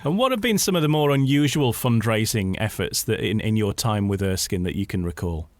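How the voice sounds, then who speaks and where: A man's voice: on the low side, animated, a little fast, no echo. A man hosting a casual radio interview, chatting and putting questions to a guest.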